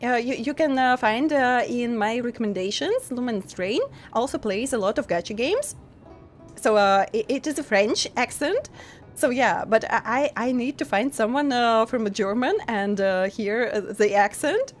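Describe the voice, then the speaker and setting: High-voiced, giggly woman streamer. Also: animated, faint music behind. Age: young adult. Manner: giggly, halting with many pauses and restarts. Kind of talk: casual live-stream chat with viewers.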